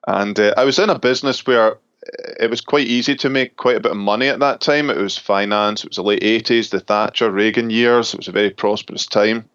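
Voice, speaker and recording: A middle-aged man with a Scottish accent speaks in an easy, reflective way, pausing and restarting now and then. The recording is close and dry, with a slightly muffled, dull top end. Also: lowish voice, a bit bright, no echo. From Scotland. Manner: thoughtful, with small laughs and restarts. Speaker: pastor and seminary professor. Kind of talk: relaxed interview conversation.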